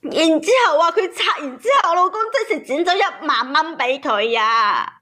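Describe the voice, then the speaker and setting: Cantonese lady calling in, really high voice, worked up telling her own story, keeps repeating stuff. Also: lively intonation, moderate pace, sharp tone. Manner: agitated, emphatic, repeats phrases. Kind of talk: emotional personal storytelling on a call-in show.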